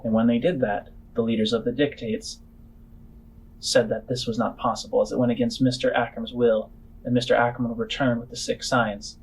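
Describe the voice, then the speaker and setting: A man voicing a weary, secretive halfling woman spymaster in a tabletop game, speaking in measured, formal phrases. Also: mid-range voice, level tone, breathy edge, low-quality recording. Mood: grave, measured.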